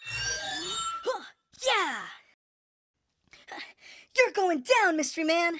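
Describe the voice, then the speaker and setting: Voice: very high-pitched, very slow, slight background noise, heavily acted. A performer voicing an eager, cocky, childlike little monster sidekick in a fan audio drama. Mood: cocky, defiant. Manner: excitable, boastful.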